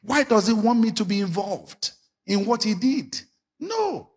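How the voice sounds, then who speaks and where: Husky preacher with wild swings. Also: male voice, big pitch swings, unhurried. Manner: preaching with sudden shouts and dramatic swings in pitch. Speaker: pastor. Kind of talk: sermon.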